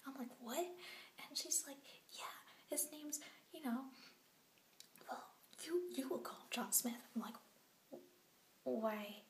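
A young woman voices a bubbly young orphan girl confiding to a camera late at night, her words coming out in a hushed, rambling whisper. Her voice sits fairly high and bounces in giddy bursts. The room rings around her, with a faint hiss under it. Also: expressive, slow, long pauses, reverberant, heavily acted. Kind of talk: scripted web series vlog monologue.

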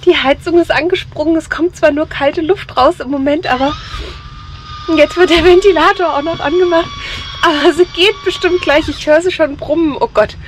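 Woman, casual vlogger, teasing and wry, higher voice, clear car noise behind. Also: normal speed. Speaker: camping vlogger. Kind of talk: casual travel vlog chat. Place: inside a camper van, in the car earlier. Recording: noisy car and camper sound.

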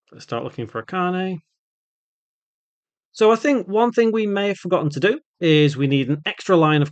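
A man presenting an unscripted step-by-step software tutorial, thinking aloud as he instructs. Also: fairly high pitch.

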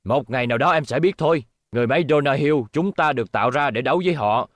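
A man dubbing a tough, grim older-brother robot in a cartoon, forceful and ominous. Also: medium pitch, quick pace, lightly acted. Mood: grim, resolute.

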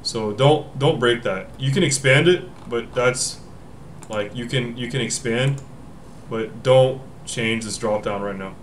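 Young man, casual tech walkthrough, rambling and self-correcting, mid-pitched voice, faint background hiss with keyboard typing. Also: measured pace. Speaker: tech tutorial host. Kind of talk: casual screen-recorded walkthrough. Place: home room, indoors.

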